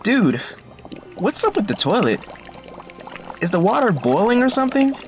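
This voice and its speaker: Woman playing an excitable, slangy school kid. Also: mid-range voice, moderate pace, slight background noise, lightly acted. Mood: startled. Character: casual, alarmed school kid, helpful then panicky. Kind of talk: audio drama acting.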